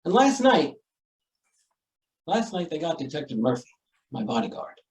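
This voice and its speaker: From off the mic in an echoey room, a man acts an exasperated newspaper editor in an old radio play. He blusters with heavy sarcasm, his pitch rising and dropping dramatically. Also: very animated, moderate pace, a little mellow, recorded from a distance. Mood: harried alarm. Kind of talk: old-time radio comedy-thriller acting.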